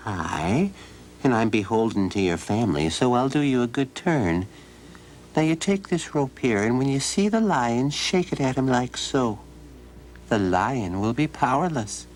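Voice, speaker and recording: Man doing a solemn little fairy man, earnest, kindly, steady, soft hiss behind. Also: medium pitch, voice pushed up, lightly acted, rough recording. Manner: earnest, measured advice-giving. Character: tiny, serious green fairy man, kindly and helpful.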